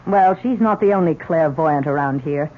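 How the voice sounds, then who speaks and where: An actress playing a bitter, jealous wife in a 1970s radio drama, sarcastic and accusatory. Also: female voice, deep voice, normal speed, close to an everyday voice. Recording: muffled, hissy old radio broadcast.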